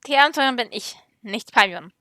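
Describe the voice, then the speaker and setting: A woman's voice: fairly high pitch, lively intonation, quick pace, pushed and bright, a little hiss or hum. A woman giving casual, wry, teasing asides as she hosts a gaming video. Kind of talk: casual let's-play commentary.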